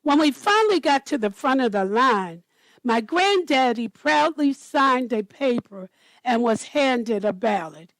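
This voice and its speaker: A woman storyteller reading a children's picture book aloud in a deliberate manner. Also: on the high side, lively intonation, no room sound, rough recording.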